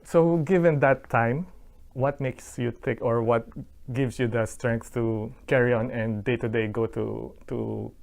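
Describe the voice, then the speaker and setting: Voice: medium pitch, fairly even intonation, unhurried, gravelly, darkish tone. An interviewer asking questions hesitantly.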